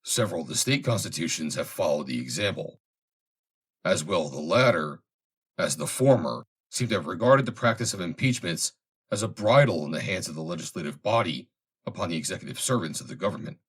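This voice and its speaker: Low, husky man reading formally. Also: lively intonation. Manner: measured, formal, deliberate phrasing. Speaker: reader, narrator. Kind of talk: scripted reading of a formal historical essay.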